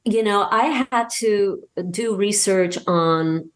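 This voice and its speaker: A woman talking casually as an interview guest and telling anecdotes, emphatic and halting, often repeating words as she goes. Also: medium pitch. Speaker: podcast guest, audio drama creator and voice actor.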